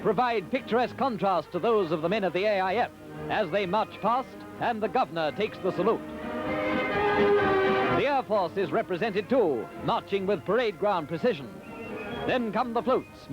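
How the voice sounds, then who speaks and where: Male 1940s newsreel narrator, high-set voice, formal and celebratory, dull archival sound under loud music. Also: animated, normal speed.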